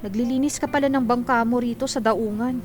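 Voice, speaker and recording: A woman playing a gentle young woman in a Filipino radio drama, speaking earnestly and confidingly. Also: on the high side, fairly even intonation, a little fast, music in the background, lightly acted.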